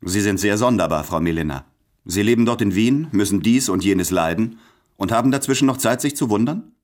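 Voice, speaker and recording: An actor playing an ailing, introspective man of letters in a German radio play, speaking in a measured, matter-of-fact and gently detached way. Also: fairly low pitch, animated, natural voice. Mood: puzzled.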